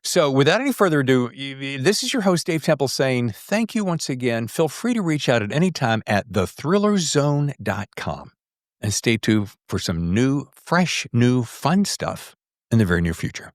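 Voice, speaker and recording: Animated man hosting a chatty podcast. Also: medium pitch, moderate pace, dry recording, studio quality. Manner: playful, rambling, self-teasing asides. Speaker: podcast host.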